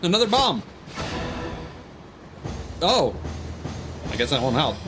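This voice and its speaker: A man's voice: fairly high pitch, big pitch swings, takes it slowly. A man giving casual, wry, joking commentary as he streams a video game. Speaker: live streamer. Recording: game music behind the voice. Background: video game music.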